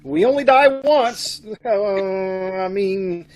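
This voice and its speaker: A man's voice: high-pitched, slow, darkish tone, poor audio. A man playing a doting, nervous, bumbling young bridegroom, hesitant and blurting, in an old-time radio comedy. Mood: nervous, explaining.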